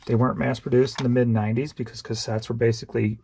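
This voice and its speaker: A man with a gravelly, low-toned voice rambles offhandedly, thinking aloud as he goes. His tone is dark and mellow, and faint clicks and noise sit behind him. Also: flat delivery, fast. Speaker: online reseller. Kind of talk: casual show-and-tell vlog.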